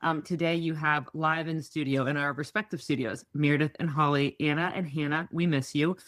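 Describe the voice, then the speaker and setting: A woman's voice: low-pitched, fairly even intonation, fairly quick, a bit bright, dry recording, studio quality. A woman co-hosting a podcast, talking in an upbeat, rambling way and correcting herself mid-sentence. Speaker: podcast co-host. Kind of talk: casual podcast chat. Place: remote home studio.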